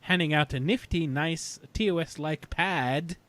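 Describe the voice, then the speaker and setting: A man in his thirties hosting a casual fan discussion, talking in a halting, rambling way as he recaps. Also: a little high, fairly bright tone. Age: 30s. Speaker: podcast host.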